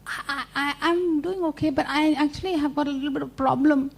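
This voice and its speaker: A woman's voice: sits high, fairly even intonation, brisk, creaky, voice pushed up. A woman voicing a cunning male spider trickster in a children's story, smug and falsely meek. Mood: hesitant, feigned worry. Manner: stammering, feigning helplessness.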